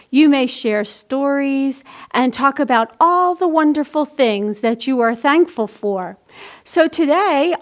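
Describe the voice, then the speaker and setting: Woman, high-pitched, unhurried, children's library storytime host, simple careful delivery. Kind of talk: children's picture-book reading and craft instruction.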